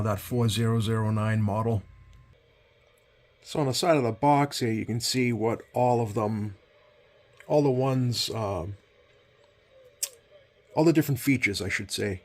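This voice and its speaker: A man giving a casual, hands-on product demonstration as a host, hesitant and rambling, often correcting himself. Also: medium pitch. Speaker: host, CB radio collector. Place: indoors at a workbench.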